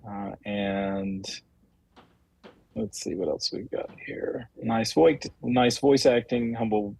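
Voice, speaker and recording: A man hosting a livestream, talking off the cuff and reading out viewer comments in a halting, apologetic way. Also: on the low side, steady tone, vocal fry, a little mellow. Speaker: livestream host, comic creator.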